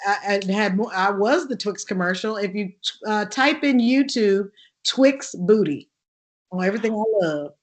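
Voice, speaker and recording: A woman with a deep contralto voice, telling stories as an interview guest in an animated way, laughing and acting out the voices of people she quotes. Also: normal speed. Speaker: actress and singer guest. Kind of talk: lively interview storytelling.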